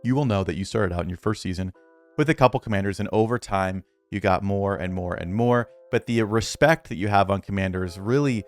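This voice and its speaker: A man's voice: lowish voice, brisk, soft background music. A man who makes videos about a mobile strategy game, talking casually and earnestly to his viewers, rambling with many restarts and fillers.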